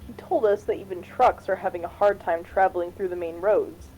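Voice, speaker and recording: A woman's voice: on the low side, fairly quick, mellow, lo-fi sound, soft background music, close to an everyday voice. A woman voicing a principled female politician who speaks up for ordinary citizens in a political game. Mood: earnest, insistent. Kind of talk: amateur voiced read of game dialogue.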